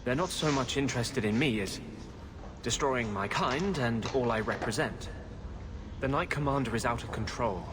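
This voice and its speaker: An actor playing an intense, brooding rebel healer mage in a video game, speaking gravely and earnestly. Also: male voice, mid-range voice, expressive, moderate pace, noisy background, natural voice. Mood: grave, passionate.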